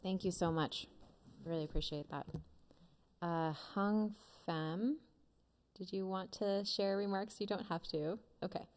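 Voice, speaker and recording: A woman's voice: medium pitch, fairly even intonation, measured pace, a little mellow. A woman chairing a school board meeting who gives brief, polite thanks and prompts.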